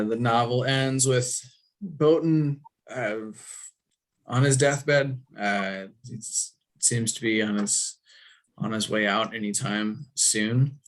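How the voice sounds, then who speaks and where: A man leads a thoughtful book discussion at an unhurried, slow pace. His phrasing is hesitant, feeling its way toward each point. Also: medium pitch.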